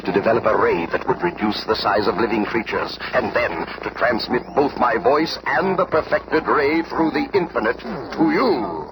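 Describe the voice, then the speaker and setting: A man's voice: medium pitch, expressive, moderate pace, few pauses, natural voice. A man playing a megalomaniac villain and scientist tyrant in a 1970s radio drama, with a grandiose, taunting delivery. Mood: triumphant, commanding. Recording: very noisy vintage radio recording.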